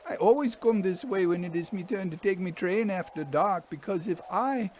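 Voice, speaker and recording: A man plays a haunted railway engine driver from a Victorian storybook. The voice is pushed well up in pitch. He is bitter, fatalistic and insistent, with rough working man's grammar. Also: normal speed, a little mellow, slight background noise, close to an everyday voice.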